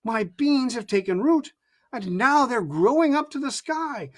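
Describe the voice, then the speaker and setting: A storyteller voicing a young country boy who speaks eagerly and excitedly. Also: mid-range voice, moderate pace. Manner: eager, excited, at times hesitant.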